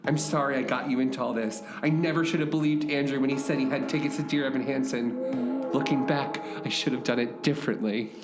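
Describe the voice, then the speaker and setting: A woman playing an excitable, chatty, naive young man held captive in a comedic audio drama. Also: rumbling low, brisk. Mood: regretful. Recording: echoey, loud music underneath.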